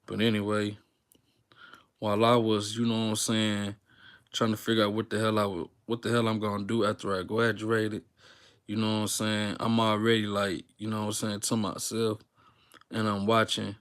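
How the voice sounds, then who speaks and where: A man's voice: fairly low pitch, moderate pace, clear, resonant tone. A young man from Texas, talking unscripted to his viewers in a rambling, slang-filled way with lots of fillers. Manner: rambling, relaxed slang-filled talk with many fillers and restarts. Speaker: YouTuber. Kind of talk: unscripted casual talk to viewers, live stream.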